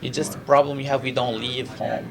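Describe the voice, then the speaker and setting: Gravelly Moroccan-accented male fighter. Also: mid-range voice, steady tone, a little fast, crowd noise. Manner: earnest, repetitive, non-native English with frequent 'you know'. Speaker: professional MMA fighter. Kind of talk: press interview, casual chat.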